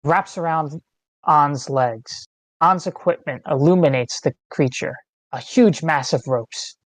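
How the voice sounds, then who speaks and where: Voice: medium pitch, slow, dry recording. A narrator reading screenplay stage directions in terse, dramatic phrases. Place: online meeting, close dry mic.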